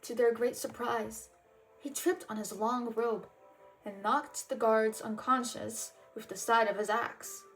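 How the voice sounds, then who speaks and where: A woman narrates a children's storybook in a fairly high voice. Her reading is very unhurried and expressive, with music playing behind her. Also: low-quality recording. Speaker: storybook reader and channel host. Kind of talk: storybook read-aloud narration.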